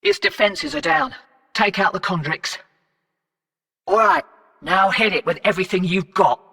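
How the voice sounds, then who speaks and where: An actress playing a brash, wry, streetwise space-colony fixer in a video game, with a laconic, cocky, slangy drawl. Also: female voice, medium pitch, animated, moderate pace, pushed and bright, long reverb tail, far from the mic. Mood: urgent, commanding. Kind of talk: scripted video game character dialogue.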